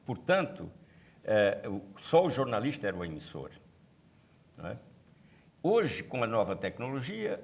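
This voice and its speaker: Animated, emphatic Brazilian professor, male. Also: on the low side, normal speed, gravelly, pauses often. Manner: emphatic, didactic. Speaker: professor on a panel. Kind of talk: panel discussion.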